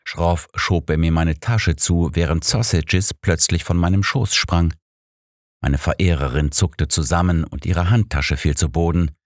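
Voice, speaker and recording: A man's voice: sits low, fairly even intonation, measured pace, dry recording, studio quality. A man reading a scripted first-person story as an audiobook narrator.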